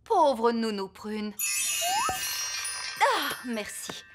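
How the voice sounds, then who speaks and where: A woman playing a brisk, no-nonsense fairy nanny with a firm manner in a children's cartoon. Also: on the high side, big pitch swings, heavily acted. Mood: sorry. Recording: loud music under the voice.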